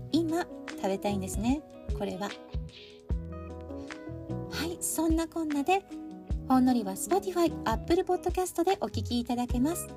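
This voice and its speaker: A middle-aged woman hosting a podcast alone, chatting politely and speaking directly to her listeners. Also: high-pitched. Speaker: podcast host introducing picture books. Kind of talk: chatty solo podcast talk.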